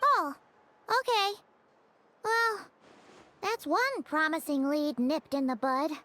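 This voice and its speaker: A woman voicing a tiny, excitable, blunt fairy companion in a video game, with a squeaky, exclamatory childlike delivery. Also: takes it slowly, a little hiss or hum, heavily acted. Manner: squeaky, exclamatory, refers to herself in third person.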